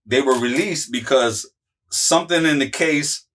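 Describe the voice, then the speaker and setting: A man's voice: mid-range voice, unhurried, fairly bright tone, far from the mic. Rambling slangy man telling a story. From Miami, Florida. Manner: rambling, many fillers and restarts, profane slang. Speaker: interview guest, musical artist. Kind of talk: casual chat, storytelling.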